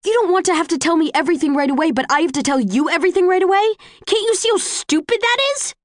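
A woman narrator plays a defiant, guilt-ridden young woman in an audiobook. She is sarcastic and confrontational, her pitch pushed up high, with emphatic stresses in a dry recording. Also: animated, fast, no room sound. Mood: angry, exasperated.

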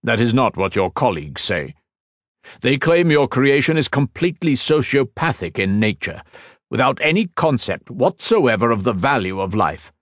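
A man voicing a stern male council leader with a deep baritone, speaking in a firm, cutting tone. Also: big pitch swings, moderate pace, dry recording, studio quality, close to an everyday voice.

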